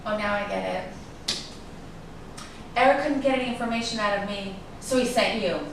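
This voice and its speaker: A woman's voice: mid-range voice, moderate pace, gravelly, lightly acted. A woman playing a defiant, guarded actress in a staged drama reading, with a sarcastic, cutting delivery. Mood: indignant. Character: defiant, guarded actress in love with a suspected man. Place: echoey room at a staged reading. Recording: echoey room, distant mic, noisy background.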